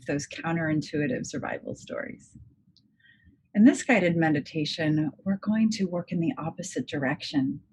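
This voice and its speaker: A woman leading a guided meditation as a psychologist, speaking in a gentle, measured, soothing manner with deliberate pauses. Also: lowish voice, a little hiss or hum, low-quality recording. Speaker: clinical psychologist guiding a meditation. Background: music.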